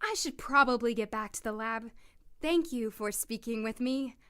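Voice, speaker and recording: Woman acting a polite young lady doctor, clear bright voice, earnest and composed, audio drama. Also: a little high, lightly acted. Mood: disapproving, guarded. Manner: courteous, earnest, measured.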